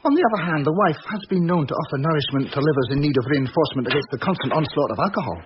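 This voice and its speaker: A fairly high male voice, an actor as a long-winded pub landlord in a radio play. He is pompous and flowery. The recording is muffled, with noticeable noise. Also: animated, rapid-fire, close to an everyday voice. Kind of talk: radio drama acting.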